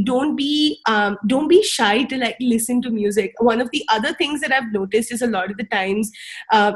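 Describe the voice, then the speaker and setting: A woman's voice: medium pitch, breathy edge. A young woman whose first language is Tamil, a singer, giving casual advice in a chatty way, full of fillers and tag questions. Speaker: speaker sharing wellbeing advice.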